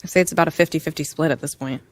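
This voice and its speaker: An actress playing a pragmatic, matter-of-fact sports publicist in a video game cutscene, dry and instructive. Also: female voice, sits low, steady tone, racing, close to an everyday voice. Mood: concerned.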